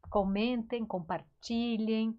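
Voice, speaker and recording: A woman's voice: fairly high pitch, steady tone, slow pace. Cheerful woman, slightly dull recording. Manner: cheerful, welcoming. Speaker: podcast co-host, psychologist. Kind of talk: casual conversation.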